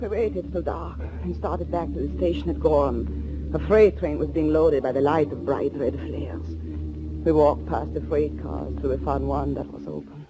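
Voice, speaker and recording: A woman's voice: sits low, normal speed, over music. An actress playing a frightened, tense woman on the run, narrating her ordeal with dramatic 1940s radio thriller acting. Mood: tense recounting. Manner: dramatic, breathless, suspenseful delivery. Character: frightened young woman fugitive named Anna, tense, recounting her ordeal. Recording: noisy old 1940s radio recording.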